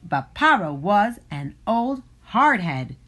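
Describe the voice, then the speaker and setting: A woman narrating a rhyming children's storybook in an expressive, deliberate way. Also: mid-range voice. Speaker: storybook reader.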